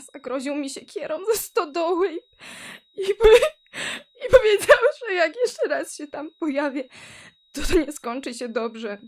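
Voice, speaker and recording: A woman playing a desperate, grieving young girl in an audio drama, speaking in a halting, tearful way. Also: high voice. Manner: halting, tearful, repeating words.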